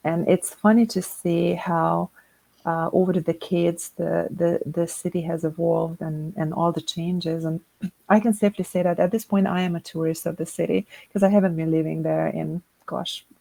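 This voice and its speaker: A middle-aged woman from Hungary, a guest chatting casually in an interview, upbeat and quick to laugh, often repeating words as she starts a sentence. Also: on the low side, little pitch movement, vocal fry, warm tone, dry recording, poor audio.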